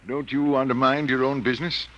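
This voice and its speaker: An American actor playing a weary, troubled, absent-minded professor in a 1950s radio drama, speaking in hesitant, brooding, formal phrases. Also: male voice, medium pitch, level tone, brisk, fairly bright tone, a little hiss or hum, close to an everyday voice. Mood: weary, irritable. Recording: muffled vintage 1950 radio recording.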